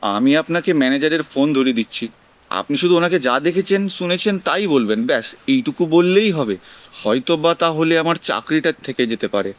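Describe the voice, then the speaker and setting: A man acting as an earnest food delivery rider in his twenties with a clear, resonant voice. He speaks in a polite, pleading way, explaining his troubles as in a radio play. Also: fairly high pitch, lively intonation, fast, close to an everyday voice. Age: 20s. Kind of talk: audio drama acting.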